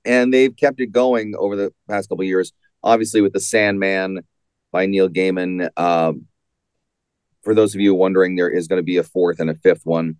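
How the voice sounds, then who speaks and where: A man giving a measured, explanatory answer as an interview guest, repeating points for emphasis. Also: deep voice, steady tone, a little mellow, clear, resonant tone, no echo. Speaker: audio drama writer and interview guest.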